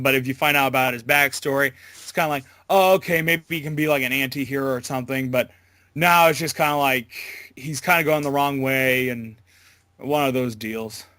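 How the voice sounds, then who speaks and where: A man giving a casual interview as a voice actor, talking in a halting, loosely worded way. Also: a little high, fairly bright tone, no echo, clean studio sound.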